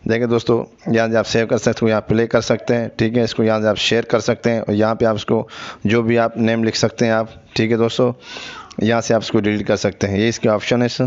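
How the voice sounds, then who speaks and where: A man presents an app tutorial as the host of a tech channel. His delivery stays even and flat in pitch. He keeps addressing the viewers directly as friends while he explains each step. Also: smooth, dull audio. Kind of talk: app review tutorial narration.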